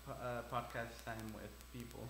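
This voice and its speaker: A man's voice: medium pitch, level tone, a bit bright. Brief: man as casual podcast host, hesitant, stop-start, roomy sound with faint hum. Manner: hesitant, halting, many uh and restarts. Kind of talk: casual chat. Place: community center music studio. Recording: echoey room with faint hum.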